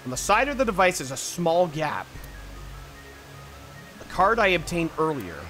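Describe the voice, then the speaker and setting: A man with a fairly high, very animated voice gives casual commentary on a game. He reads on-screen text aloud and mutters to himself as he plays, over soft game music. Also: moderate pace. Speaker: streamer playing a puzzle adventure game.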